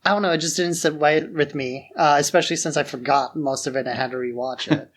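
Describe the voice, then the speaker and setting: A man's voice: a little high, steady tone, quick pace. A man co-hosting a podcast, chatting casually about films and giving his opinions. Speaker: podcast co-host. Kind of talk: casual film discussion.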